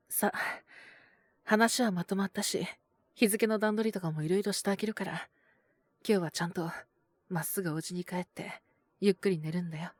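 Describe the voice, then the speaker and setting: A woman voicing a calm, protective male owner who talks in a gentle, measured, softly teasing way in an audio drama. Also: fairly low pitch, no room sound, close to an everyday voice. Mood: soft, apologetic. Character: calm, protective adult male bar owner mentoring a youth. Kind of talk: Japanese situational audio drama acting.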